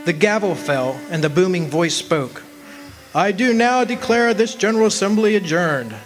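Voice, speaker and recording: A man's voice: sits high, animated, moderate pace, music in the background. A man acting in a radio drama as an earnest, homesick country man, with a wistful, folksy way of talking. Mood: wistful, solemn. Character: homesick young man of twenty-five, lovesick, earnest, remembering. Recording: noisy live amateur stage recording.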